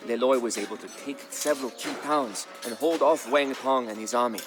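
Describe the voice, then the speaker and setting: A man reading a scripted, dramatic historical narration in a measured, solemn storytelling manner. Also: mid-range voice. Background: background music.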